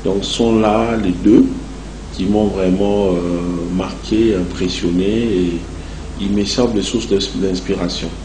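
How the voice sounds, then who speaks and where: A man with a Congolese accent giving long, measured and halting answers as a guest in a television interview. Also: lowish voice, fairly even intonation. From Democratic Republic of the Congo. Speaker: interview guest, entrepreneur and association president. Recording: echoey room, clear background noise.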